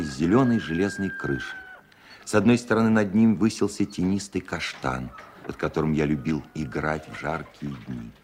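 A man acting as a grown composer narrating his childhood memories in a radio play, measured and reflective. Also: low voice, darkish tone, slight background noise.

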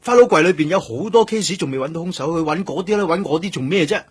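An actor with a Hong Kong Cantonese accent playing a stubborn, weary young police detective in a radio drama, defiant and insistent. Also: male voice, a little high, lively intonation, fast, slightly rough, dry recording. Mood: defiant, heated. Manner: defiant, insistent, repeating himself for emphasis.

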